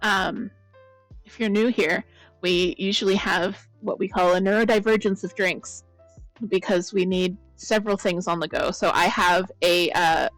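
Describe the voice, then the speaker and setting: A woman chatting casually with viewers as the host of a crafting stream, her voice a bit croaky. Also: medium pitch, steady tone, measured pace, dry recording, faint music behind, poor audio. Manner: chatty, rambling, greets viewers by name. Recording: loud blown-out mic.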